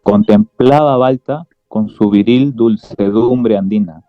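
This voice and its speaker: A man narrates a literary radio drama from a script. His voice is fairly low and he reads very slowly. The sound is dry and slightly muffled, with soft music beneath. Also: dry recording. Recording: dull lo-fi sound, music behind.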